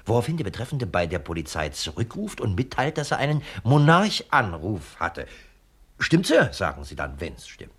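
A sceptical male accomplice who explains things in a pointed, know-it-all way. The voice is on the low side and husky, with hugely varied intonation. The style is 1970s radio crime comedy. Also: wildly swooping pitch, normal speed, a bit bright, exaggerated voice. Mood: smug, explaining.

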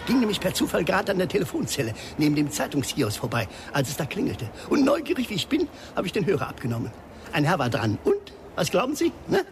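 A man's voice: sits high, huge pitch swings, fast talker, noisy background. An actor playing a chatty, nosy male passer-by who rambles eagerly in a German radio crime drama. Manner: garrulous, rambling, ingratiating.

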